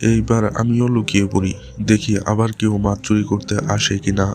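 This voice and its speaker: A man's voice: fairly low pitch, level tone, brisk, lightly acted. A man voicing a bossy, food-loving but shrewd village husband in a cartoon. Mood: watchful. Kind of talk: cartoon voice acting. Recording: music playing behind the voice.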